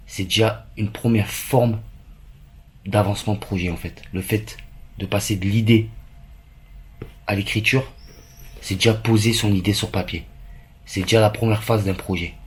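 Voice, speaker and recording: A man from France, talking casually and earnestly to the camera as a vlogger, repeating phrases for emphasis. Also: deep voice. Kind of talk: casual vlog chat to camera.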